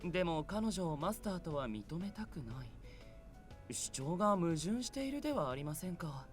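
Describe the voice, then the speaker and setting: A man's voice: fairly high pitch, sing-song, fast, faint music behind. An actor playing an earnest, literal-minded male angel who speaks formally and precisely in a visual novel. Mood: earnest, questioning. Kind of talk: Japanese visual novel voice acting.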